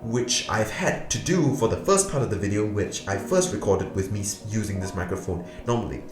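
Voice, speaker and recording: A man with a low, booming voice that is a bit hoarse talks through a gear review with dry sarcasm. He sounds tired late at night, speaking close to a condenser mic in an echoey room while music plays clearly behind him. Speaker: tech reviewer. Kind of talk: casual product review. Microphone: USB condenser microphone close-up.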